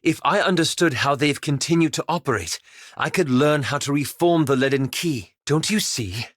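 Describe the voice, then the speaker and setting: A man playing a polite, anxious, scholarly elven wizard in a video game, speaking with formal, measured, courteous diction. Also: fairly high pitch, a bit bright, no room sound, studio quality. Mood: earnest, uneasy. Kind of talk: video game character dialogue.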